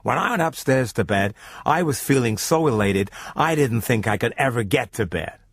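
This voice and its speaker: A man narrating a first-person radio drama story in a literate, measured storytelling manner. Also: medium pitch, animated, no echo, studio quality.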